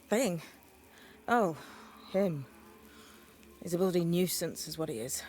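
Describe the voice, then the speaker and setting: A woman playing a blunt, sly young witch's novice in a video game, wry and teasing in a deadpan way. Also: lowish voice, slow, a little hiss or hum. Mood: weary, irritated. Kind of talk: video game cutscene acting.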